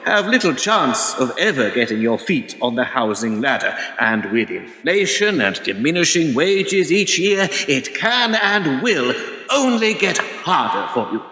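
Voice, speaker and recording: An actor playing a slick, hard-nosed investment company man making a formal, measured and pointed public speech in a radio play. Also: medium pitch, bright, forceful tone. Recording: echoey hall with long reverb.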